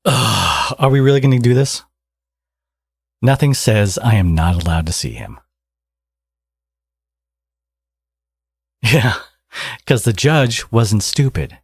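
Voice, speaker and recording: A man playing a smug, teasing supervillain and doting father in an intimate ASMR audio roleplay, with sardonic, drawling threats and a husky voice. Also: mid-range voice, big pitch swings, measured pace, dry recording, clean studio sound, full character voice. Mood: defiant, smug.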